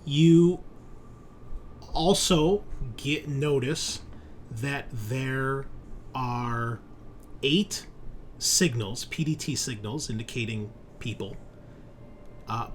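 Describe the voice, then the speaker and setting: A man's voice: mid-range voice, animated, slow pace, slight background noise. A man narrating a sci-fi horror tabletop game as game master in a casual, descriptive, explanatory way.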